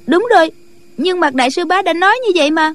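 A woman's voice: very high voice, quick pace, fairly bright tone, voice pushed up. A woman voicing an earnest, respectful young female disciple in a martial arts audiobook, girlish and deferential. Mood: sheepish.

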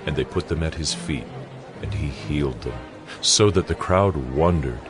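A man's voice: a bass voice, normal speed, music in the background. A man narrating scripture as a storyteller in a dramatized Bible production.

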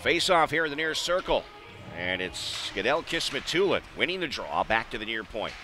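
An American man doing live radio-style play-by-play hockey commentary, measured in routine play and excitable on big plays. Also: medium pitch, faint music behind. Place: indoor ice arena. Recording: noisy live rink broadcast.

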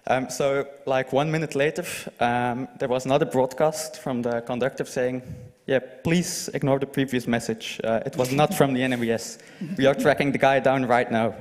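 A young Flemish man speaks casually and haltingly at a conference, holding a microphone. His voice has a middle pitch and rings through a big lecture hall with long reverb. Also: fairly even intonation. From Flanders, Belgium. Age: young adult. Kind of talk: informal lightning talk to an audience. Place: large lecture hall, indoors. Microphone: handheld microphone.

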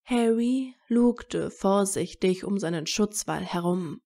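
A woman's voice: medium pitch, slow pace, dark tone. A woman reading scripted story narration as the narrator of an audio fiction.

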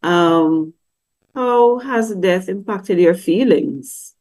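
A woman's voice: lowish voice, extremely slow, a little mellow. A middle-aged woman, teaching about grief through a webcam in a conversational, questioning way. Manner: conversational, asks many questions, acts out quoted voices. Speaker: grief counselor teaching at a church service. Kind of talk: teaching talk with slides. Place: indoor room. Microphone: webcam on a video call.

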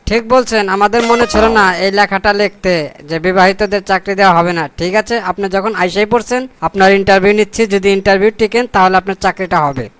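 A man's voice: sits high, fairly even intonation, fast talker, fairly bright tone, slight background noise. A man voicing a scheming, self-important corrupt boss in a comic cartoon, pompous and sly, with colloquial Bangladeshi speech. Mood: mock-formal questioning. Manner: pompous, mock-formal, sly.

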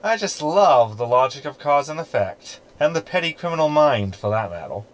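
An actor playing a sarcastic, flippant ship computer hologram with a wry, chatty, teasing manner in a sci-fi comedy audio drama. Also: male voice, on the high side, sing-song, moderate pace. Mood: mocking.

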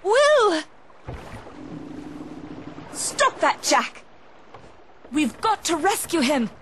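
A woman playing a spirited, concerned young gentlewoman in a video game, urgent yet proper. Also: very high-pitched, big pitch swings, drawn-out words, a little hiss or hum, exaggerated voice. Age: young adult. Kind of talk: video game cutscene acting.

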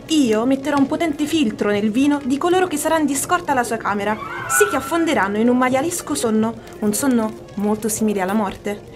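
A woman's voice: fairly high pitch, close to an everyday voice. An actress playing an ambitious, scheming, commanding noblewoman in an Italian radio drama, theatrical and formal. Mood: scheming, resolute.

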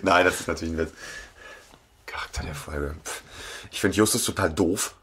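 A man's voice: low voice, normal speed, a bit bright, slight background noise. A man talking casually as a podcast co-host. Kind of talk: casual chat.

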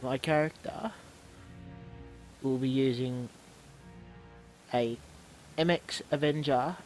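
A man's voice: medium pitch, slow, darkish tone, faint music behind, low-quality recording. A man with a stuffy, snuffly voice from a cold gives casual commentary on his own video game match. He often rambles and stops to correct himself. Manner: rambling, self-correcting, restarts words.

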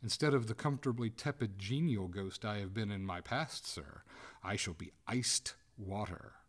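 A man's voice: on the low side, big pitch swings, normal speed, pitched above the performer's own voice. A man voicing a female water ghost, a haughty lady given to imploring, in a storybook reading. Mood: threatening. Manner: formal, melodramatic, imploring.

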